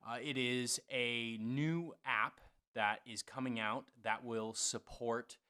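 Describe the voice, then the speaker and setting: Bright, forceful man making a casual appeal. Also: medium pitch, steady tone, clean tone. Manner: informal, halting, apologetic. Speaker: theater show host.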